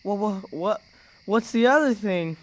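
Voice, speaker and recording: Highish slow voice, young guy doing a worried kid brother, hesitant, radio play. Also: expressive. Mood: uneasy. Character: timid young red-haired boy, worried little brother. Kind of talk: amateur radio play acting.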